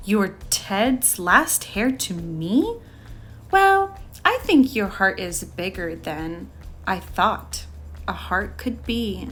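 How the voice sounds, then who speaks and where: A woman's voice: mid-range voice, big pitch swings, slow pace, fairly bright tone. A woman voicing a little witchling girl in a storybook, wistful and timid but eager, with dramatic delivery. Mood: amazed. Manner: dramatic, exclaiming and sighing.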